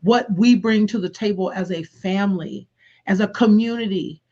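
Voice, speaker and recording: A woman's voice: medium pitch, normal speed, mellow, dull audio. A woman educator guest speaking earnestly and reflectively in a casual interview, often restarting her sentences as she thinks.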